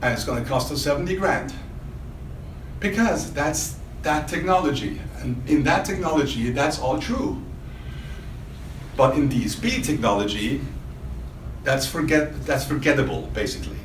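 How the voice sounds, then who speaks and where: The recording is echoey, with faint background noise, as in a hotel demo room. A man with a mid-pitched voice presents a product to a small audience. He speaks spontaneously and emphatically, often putting questions to his listeners. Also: expressive, moderate pace. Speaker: product demonstrator for a loudspeaker maker.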